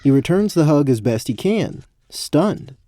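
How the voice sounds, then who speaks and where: Mellow male fantasy story narrator. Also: a little high, big pitch swings, unhurried, no echo.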